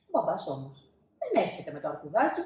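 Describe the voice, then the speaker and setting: A woman in her thirties narrating a children's storybook expressively, with dramatic pauses and emphasis. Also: low voice, wildly swooping pitch, a little mellow. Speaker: storybook reader. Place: small indoor room, seated. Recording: roomy indoor echo.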